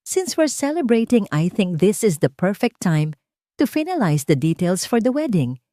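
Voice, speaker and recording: A woman's voice: mid-range voice, big pitch swings, normal speed, warm tone, dry recording, clean studio sound, pitched above the performer's own voice, close to an everyday voice. A woman with a Filipino accent voicing a sweet, gushing, image-obsessed rich fiancée in an audio drama story. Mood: cheerful, gushing. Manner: honeyed, gushing.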